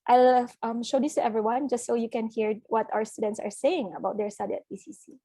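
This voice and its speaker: Filipino woman, college rep on a webinar; upbeat and brisk, mellow voice, dry close sound. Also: on the high side, steady tone, breathy edge, no echo. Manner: brisk, upbeat, mixing English and Tagalog. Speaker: college representative. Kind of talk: webinar presentation.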